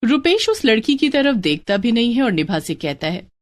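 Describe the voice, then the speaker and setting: A woman's voice: on the high side, very animated, moderate pace, dry recording. A woman narrating a scripted moral bedtime story as a storyteller.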